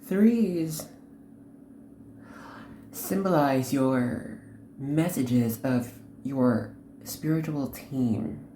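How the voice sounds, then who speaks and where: Female card reader, very deep and mellow, earnest and instructive, halting short phrases in a roomy space. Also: a little hiss or hum. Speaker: host, tarot card reader. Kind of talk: unscripted tarot reading addressed to the viewer.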